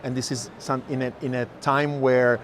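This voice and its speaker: A man's voice: mid-range voice, flat delivery, moderate pace. A man hosting a casual walking interview about art as a curator. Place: indoor art fair booths. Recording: noisy fair hall, ambient background sound.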